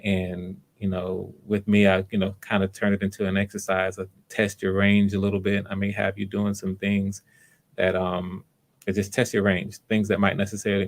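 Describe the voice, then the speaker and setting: A man speaking casually as host and casting director of a livestreamed audition, in a low-key, halting way with repeated words.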